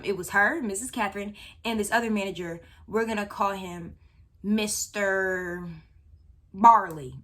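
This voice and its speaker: A woman of nineteen telling a story about a job to viewers in a chatty, animated way. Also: mid-range voice. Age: young adult. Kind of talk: casual storytime.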